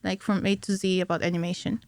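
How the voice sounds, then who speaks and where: A woman's voice: lowish voice, level tone, fast, no room sound. A woman around her thirties in casual interview chat as a guest, explaining things in a giggly, informal way. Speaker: podcast guest, animator. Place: small indoor podcast studio. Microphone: close studio mic on a stand.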